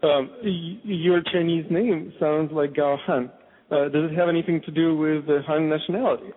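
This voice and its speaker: A man's voice: fairly high pitch, fairly even intonation. A male show host reading a formal introduction and interviewing a guest. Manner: measured, formal, stumbles now and then. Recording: tinny phone line.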